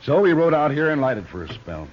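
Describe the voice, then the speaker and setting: An actor playing a folksy, menacing outlaw gang leader in an old radio western, with a drawling, mockingly genial way of talking. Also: male voice, fairly high pitch, very animated, a little fast. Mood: folksy, unrepentant. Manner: drawling rustic speech, mockingly genial, bullying. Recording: muffled, noisy old radio recording.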